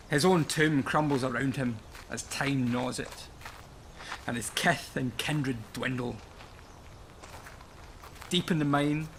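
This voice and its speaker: A man's voice: medium pitch, a bit bright, slight background noise. A man playing a grim, stubborn old miner in a radio play, solemn and portentous, almost chanting his words.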